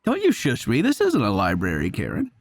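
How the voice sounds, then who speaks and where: A man doing casual gameplay commentary as a streamer, chatty, informal and expressive. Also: medium pitch, fairly quick, husky. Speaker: game streamer.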